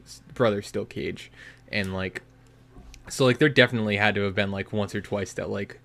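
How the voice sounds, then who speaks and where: A man's voice: on the low side, steady tone, normal speed, gravelly, a bit bright. A young man co-hosting a podcast, talking casually with constant "like" fillers and jokes. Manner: rambling, many "like" fillers, joking. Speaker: podcast co-host. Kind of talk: casual chat.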